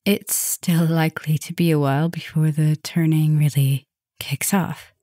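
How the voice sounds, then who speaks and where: Soft, low, breathy vampire lover played by a woman. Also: flat delivery, no echo, clean studio sound. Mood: relaxed, flirty. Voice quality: soft, breathy, often dropping to a whisper. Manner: slow, soothing, affectionate murmuring with pauses. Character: tender, gently dominant female vampire professor, centuries-old lover. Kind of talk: ASMR romantic roleplay, intimate and soft.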